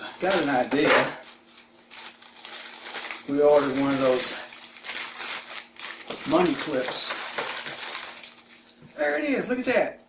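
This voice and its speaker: Folksy man, higher-pitched voice, echoey room. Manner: folksy, plain-spoken, short phrases while demonstrating. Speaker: product reviewer and video host. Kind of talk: casual unboxing and demonstration chat. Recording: echoey room with clear background noise.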